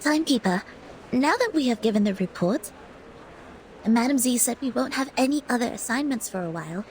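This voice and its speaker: A woman's voice: fairly high pitch, expressive, brisk, darkish tone, a little hiss or hum, natural voice, poor audio. An actress playing a polite, earnest young female assistant in a video game, formal and courteous. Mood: hesitant, polite.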